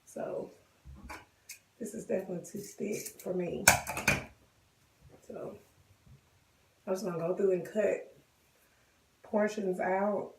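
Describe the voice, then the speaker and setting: A woman with a cold and a hoarse voice, chatting casually to viewers as she walks them through a wig styling tutorial. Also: mid-range voice, steady tone, takes it slowly, long pauses, distant mic, background noise. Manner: chatty, addresses viewers directly. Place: bathroom. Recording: echoey bathroom, voice off-mic.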